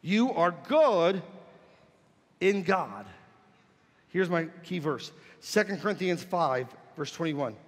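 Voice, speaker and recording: Male pastor, high voice, emphatic sermon delivery, shouting at the peaks, long church reverb. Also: sing-song, slow pace, pauses often. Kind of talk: sermon with call-and-response. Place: church sanctuary.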